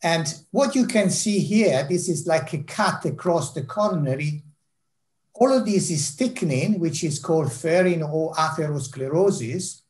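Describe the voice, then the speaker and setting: Italian-accented surgeon on a video call. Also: male voice, on the high side, lively intonation, a little slow, darkish tone. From Italy. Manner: dry self-deprecating humour. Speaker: heart surgeon giving a talk. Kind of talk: presentation over video call. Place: home office with bookshelves.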